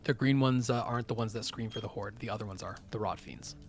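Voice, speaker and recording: A man narrating and refereeing a tabletop fantasy game as game master, describing things in an improvised, halting way. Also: lowish voice, husky.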